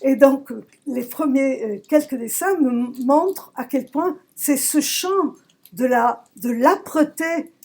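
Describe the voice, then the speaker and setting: A woman from southern Italy, a poet giving a reflective talk about her work, searching for words with emphatic pauses. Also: high voice, expressive, darkish tone. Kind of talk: reflective talk at a poetry recital. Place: public library event.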